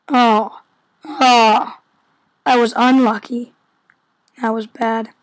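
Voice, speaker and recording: A hoarse-voiced woman who is losing her voice from illness rambles in casual let's-play commentary at home, full of fillers and stumbles. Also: a little high, a little hiss or hum, low-quality recording. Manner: rambling, filler-heavy, stumbles over words. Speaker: gameplay commentator. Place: a room at home.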